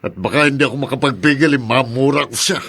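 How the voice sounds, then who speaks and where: A man's voice: mid-range voice, fast, a bit bright, slightly rough. A man playing an older father in a Tagalog radio drama, hurt yet forgiving, fretful and emotional.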